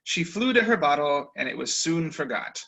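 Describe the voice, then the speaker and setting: Bright, wry male narrator for a video-call stage reading. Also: fairly high pitch, very animated, moderate pace, creaky, poor audio.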